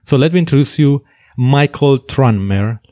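A man with a Finnish accent hosting an interview, hesitant and quick to laugh. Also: mid-range voice, animated, mellow, no echo, clean studio sound. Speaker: podcast host.

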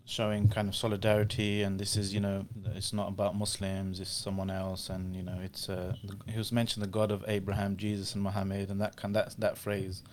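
A man in his thirties talks in a deep voice. His style is casual, conversational podcast chat. A faint low rumble sits behind the recording. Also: completely flat delivery, fairly quick, few pauses.

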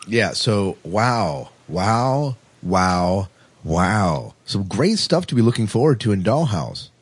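A man with a very low, rough-edged voice chats casually as a podcast co-host. He is enthusiastic and repeats words for emphasis. The sound is close and dry, with little room on it. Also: very animated, slow, dry recording. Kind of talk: casual chat about sci-fi TV.

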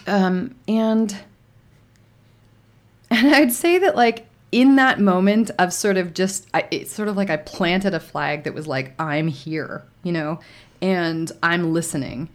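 A woman with a slightly low voice talks softly and thoughtfully, pausing often on fillers and restarting her sentences. Her delivery is relaxed and conversational, like a guest settling into a long interview. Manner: soft, reflective, many fillers and restarts.

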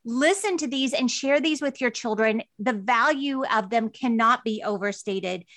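Lady hosting a podcast, kinda high voice, super earnest and excited, dry close mic. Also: moderate pace, no room sound. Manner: enthusiastic, earnest, gushing. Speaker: podcast host. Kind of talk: warm interview podcast.

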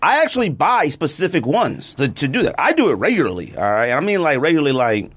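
A middle-aged man hosts a casual podcast in a fairly high, lively voice that swings up and down. He laughs often as he tells his stories and keeps repeating that he's not joking. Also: quick pace, husky. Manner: animated, laughing storyteller, repeats "I'm not even joking". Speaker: podcast host. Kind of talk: casual chat with ad read.

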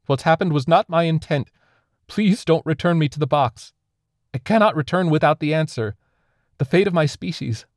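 A man's voice: mid-range voice, no room sound, studio quality, pitched above the performer's own voice, natural voice. A man voicing a wounded, grief-stricken alien envoy who pleads in formal, solemn speech for an audiobook-style story.

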